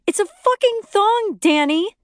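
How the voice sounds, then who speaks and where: A woman's voice: high voice, sing-song, moderate pace, darkish tone, no echo, studio quality, voice pushed up. A woman voicing a hysterical, furious pregnant young wife who shrieks accusations. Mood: screaming furious.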